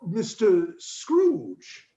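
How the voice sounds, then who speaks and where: Male actor as polite Victorian townsman for radio play: earnest, deferential, high, lively pitch, dull echoey line. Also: drawn-out words, heavily acted. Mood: astonished, earnest. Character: humble, obliging Victorian tradesman or gentleman, gratefully polite. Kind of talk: theatrical radio play reading. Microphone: video call. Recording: dull, echoey video call audio.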